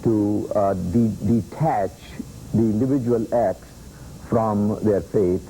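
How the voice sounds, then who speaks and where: A man delivers a thoughtful statement, pausing and filling gaps with "uh" as he chooses his words. His voice is low and very dark and warm in tone, with faint hiss behind it. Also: rough recording. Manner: hesitant, many filler sounds. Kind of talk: spoken statement.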